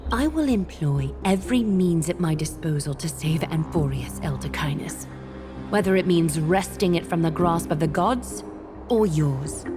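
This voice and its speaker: An actress playing a commanding female demigod ruler in a video game, speaking in a formal, measured, solemn way. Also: deep voice, lively intonation. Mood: cool, resolute. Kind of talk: scripted video game acting. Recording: music behind the voice.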